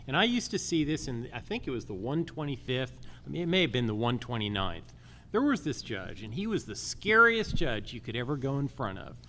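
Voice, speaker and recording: A man's voice: mid-range voice, fairly bright tone. A man speaking as a judicial candidate at a forum, making emphatic, repetitive points in lists. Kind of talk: campaign forum answers, public speaking. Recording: live forum with faint background noise.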